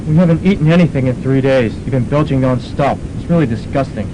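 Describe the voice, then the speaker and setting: A man's voice: fast, natural voice. A man playing a self-righteous, scornful young student revolutionary in an amateur radio drama. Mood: disgusted. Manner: preachy, disgusted. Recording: noisy, rumbling amateur radio recording.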